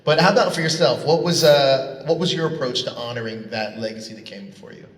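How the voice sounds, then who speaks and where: A man's voice: mid-range voice, fairly even intonation, quick pace. A man moderating a convention panel, posing interview questions to guests. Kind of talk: interview questions, reading out audience questions. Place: large convention panel hall. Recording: echoey hall, distant PA sound.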